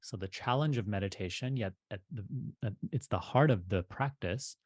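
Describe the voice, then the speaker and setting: Low-voiced man guiding a meditation. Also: moderate pace, darkish tone, no echo. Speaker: meditation teacher. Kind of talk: guided meditation.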